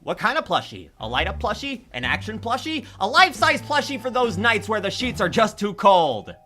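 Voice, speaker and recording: A man's voice: high voice, sing-song, normal speed, sharp tone, heavily acted. A man voicing a smug, sarcastic male shopkeeper with a showy, theatrical delivery in a fan audio drama. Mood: sardonic.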